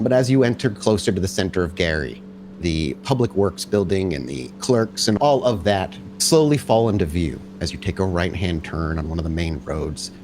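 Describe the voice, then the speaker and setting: Low-voiced man hosting a tabletop game. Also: darkish tone, a little hiss or hum. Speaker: host and game master. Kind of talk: casual podcast intro and tabletop game narration.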